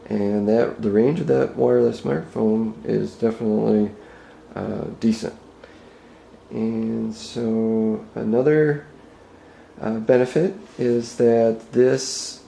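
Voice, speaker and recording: A man with a dark, mellow voice talks through an informal product demonstration. He is hesitant and plain-spoken, feeling his way through each explanation. The sound is roomy and echoey, as if recorded indoors on a camera mic. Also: fairly low pitch, gravelly. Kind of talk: unscripted explanatory video tour. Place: indoor room with bare walls.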